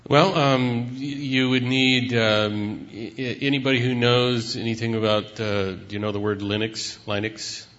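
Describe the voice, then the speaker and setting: A man on a panel giving an unscripted technical explanation in a hesitant, halting way. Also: mid-range voice, little pitch movement, a bit bright. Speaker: website tech team member on a panel. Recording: muffled recording in reverberant hall.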